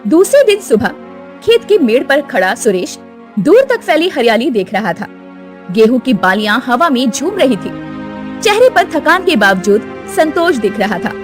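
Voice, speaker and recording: A woman narrating a cartoon moral story in an expressive storytelling manner. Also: high-pitched, moderate pace, faint music behind.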